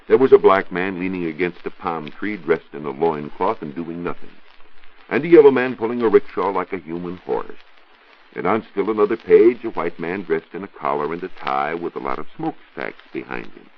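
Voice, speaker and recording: An American man giving a scripted 1940s radio science talk as a reporter, didactic and rhetorical in measured phrases. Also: sits low. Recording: dull 1940s radio recording.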